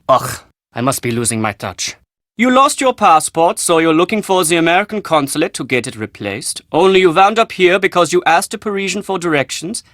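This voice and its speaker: A man's voice: sits high, a bit bright, dry recording, clean studio sound. A man playing a vain, prickly German fashion photographer in a video game, with a German accent and a pompous, sardonic, condescending manner. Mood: bored, condescending. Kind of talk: video game character dialogue.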